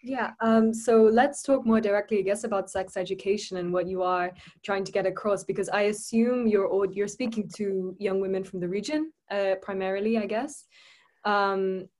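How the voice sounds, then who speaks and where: A woman hosting a podcast over a laptop video call, talking casually and hesitantly with many pauses. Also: medium pitch, darkish tone. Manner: hesitant, many fillers and restarts. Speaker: podcast host.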